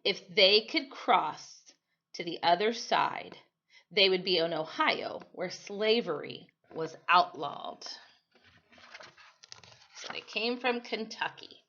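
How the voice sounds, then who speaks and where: Halting American woman teaching, dull lo-fi sound. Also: mid-range voice, pushed and bright. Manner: halting, explanatory, often self-correcting. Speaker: teacher reading a storybook aloud to students. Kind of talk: informal read-aloud lesson with explanatory asides. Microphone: computer microphone. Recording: dull, lo-fi computer microphone.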